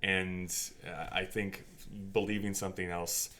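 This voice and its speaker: A man with a low-pitched voice talks as a podcast co-host. His delivery is casual and conversational, like an informal discussion. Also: little pitch movement, unhurried, bright, forceful tone.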